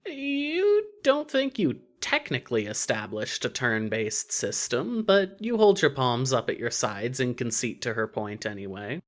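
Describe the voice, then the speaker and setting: A narrator reading scripted fiction in an expressive, wry storytelling manner. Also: medium pitch, measured pace, hardly any pauses.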